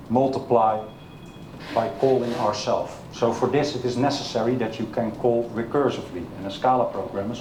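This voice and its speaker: A man with a Dutch accent giving a technical conference talk, halting with many filler sounds and questions to the audience. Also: darkish tone. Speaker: conference speaker. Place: conference room. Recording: echoey hall with low rumble.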